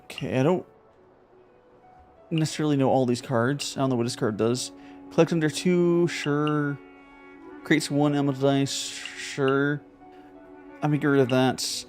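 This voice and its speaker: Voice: mid-range voice, steady tone, normal speed, long pauses, a little mellow, faint music behind. A streamer talking casually while playing a card game, thinking aloud with many fillers and repeated words. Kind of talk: casual live gameplay commentary.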